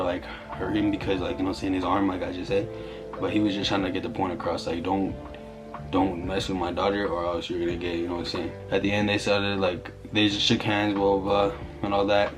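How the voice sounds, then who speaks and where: Young guy doing a storytime vlog, deep voice, talks quick, music under it. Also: monotone. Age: young adult. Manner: slangy, conversational. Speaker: YouTuber telling a story to camera.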